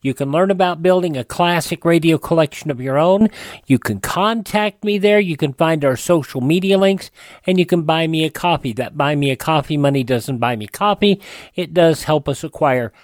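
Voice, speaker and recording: A man's voice: on the high side, moderate pace, no echo, clean studio sound. A man hosting a classic radio show in an informal presenting style.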